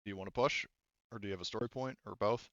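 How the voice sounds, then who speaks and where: A man running a tabletop roleplaying game as game master, narrating casually and haltingly with muttered asides. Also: lowish voice, a bit bright, no room sound, muffled recording.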